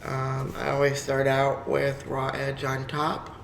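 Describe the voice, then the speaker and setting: A woman with a raspy, hoarse voice from a cold, hosting a chatty step-by-step crafting tutorial with frequent ums. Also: rumbling low, monotone, takes it slowly, slight background noise.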